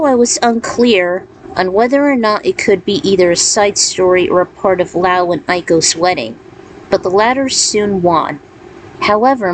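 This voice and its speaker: Slow-paced woman reading, noisy recording. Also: medium pitch. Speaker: fan fiction author reading own story. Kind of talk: story narration and author's notes. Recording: clearly noisy background.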